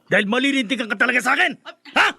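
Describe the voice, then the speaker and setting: A man's voice: very high-pitched, animated, racing, creaky, bright, forceful tone, breathy edge, no echo, studio quality, full character voice. A man acting in a radio drama as a defiant, short-tempered husband and grown son, defensive and curt. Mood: defiant, threatening.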